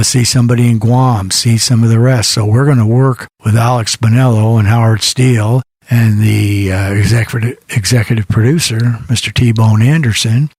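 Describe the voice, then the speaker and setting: A man's voice: mid-range voice, a little mellow, slightly rough, dry recording. An older American man hosting an internet radio show, talking in a rambling, reflective, conversational way between songs. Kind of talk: casual monologue between songs.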